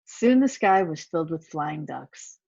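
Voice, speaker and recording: A woman's voice: deep voice, lively intonation, a little slow, vocal fry, darkish tone, dry recording, muffled recording. A woman reading a children's picture book aloud, with asides to the young listeners. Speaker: volunteer story reader.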